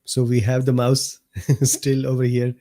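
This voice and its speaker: A man with a mellow, slightly hoarse voice hosts a live stream from his desk mic. He reads viewer comments aloud in an unhurried, easygoing way. He laughs often and answers viewers by name. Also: medium pitch, completely flat delivery. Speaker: host of a live medical talk stream. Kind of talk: casual livestream chat reading viewer comments.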